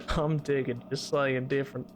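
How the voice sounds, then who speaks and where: A man's voice: fairly high pitch, a little hiss or hum. A man with a Southern-style American drawl, chatting casually and ramblingly with viewers as a livestreamer. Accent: Southern-style US drawl, put on. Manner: rambling, hesitant explanations. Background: music.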